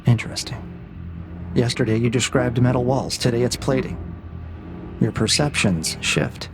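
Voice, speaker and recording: A man playing a cold, calculating AI interrogator in an audio drama, speaking in a measured, probing, condescending way with a gravelly edge. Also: mid-range voice, lively intonation, over music. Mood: cool probing. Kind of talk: scripted audio drama dialogue.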